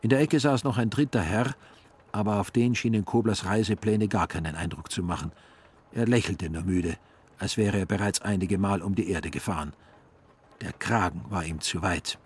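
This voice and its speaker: A man narrating a literary radio play in a husky voice. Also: low-pitched, normal speed.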